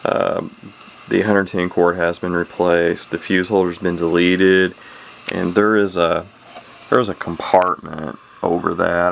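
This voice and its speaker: A very deep, gravelly male voice that hardly rises or falls, talking over a faint hiss. He rambles through his repair in a folksy, halting way, stopping and restarting sentences as he goes. It sounds like a man at his home bench speaking into the camera's mic. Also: fairly bright tone. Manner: plain-spoken folksy grammar. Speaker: radio hobbyist showing a repair. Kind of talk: casual off-the-cuff repair commentary.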